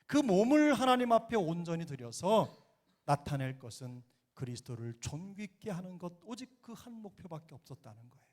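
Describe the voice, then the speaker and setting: A man's voice: high-pitched, very animated, normal speed. A middle-aged man with a slight Jeolla accent, preaching as senior pastor in a church, earnest and building to emphatic exhortation. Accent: Jeolla dialect. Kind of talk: sermon. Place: church sanctuary.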